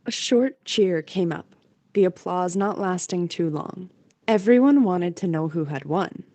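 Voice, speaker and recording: Mellow low-voiced woman narrator. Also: animated, a little slow, no room sound. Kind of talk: scripted story narration.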